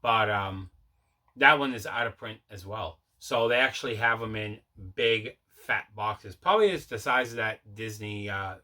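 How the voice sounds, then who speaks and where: A man with a bright, forceful voice presents a collecting show in a casual, chatty way. He sounds enthusiastic and circles back over his own phrases. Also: fairly low pitch, measured pace. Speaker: host of a collecting video show. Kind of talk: casual unboxing vlog chat.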